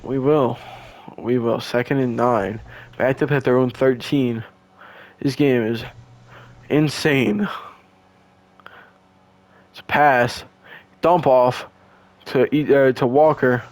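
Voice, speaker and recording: A young man, hoarse and losing his voice, doing casual, slangy play-by-play of a video game football match. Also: medium pitch, takes it slowly, long pauses. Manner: excitable, slangy, stumbles and restarts. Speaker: play-by-play commentator.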